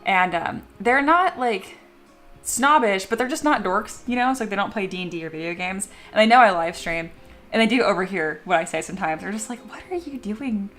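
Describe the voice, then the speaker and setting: A woman's voice: medium pitch, animated, fairly quick, fairly bright tone, faint music behind. A young adult woman chatting casually on a live stream while she sculpts at home, reading viewer messages aloud and laughing often. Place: home studio.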